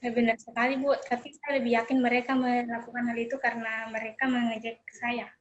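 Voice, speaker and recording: A young woman playing a counseling client in a role-play, speaking hesitantly with soft fillers over an online call. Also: fairly high pitch, level tone, fairly quick, slight background noise. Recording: dull lo-fi online call audio.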